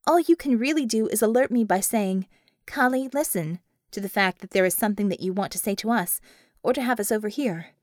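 A woman's voice: mid-range voice, a little fast, a little mellow, dry recording, natural voice. Woman narrator as a young female mage, businesslike, matter-of-fact, audiobook character, very dry close sound. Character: young female magician-technician, small, brisk, matter-of-fact.

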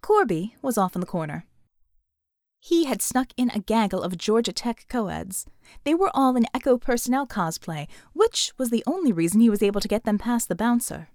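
A woman narrating a scripted audiobook novel in a measured, expressive storytelling manner. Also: a little high, no room sound, clean studio sound.

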